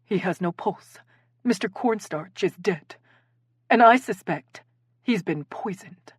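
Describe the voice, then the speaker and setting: A woman's voice: medium pitch, sing-song, normal speed, a bit bright, dry recording, clean studio sound. A woman playing a dramatic, commanding improv leader. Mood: grave, theatrical. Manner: theatrical, directing.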